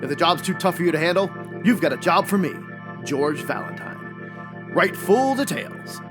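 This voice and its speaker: A man's voice: a little high, lively intonation, moderate pace, lightly acted. An actor playing a tough 1940s private detective reading his notice in old-time radio drama style. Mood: bold, dramatic. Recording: loud organ music underneath. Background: Hammond organ music.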